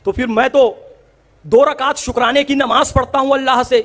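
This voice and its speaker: A man's voice: very high-pitched, steady tone, moderate pace, a bit bright. A man from India gives an impassioned public speech, speaking emphatically, repeating phrases and posing rhetorical questions.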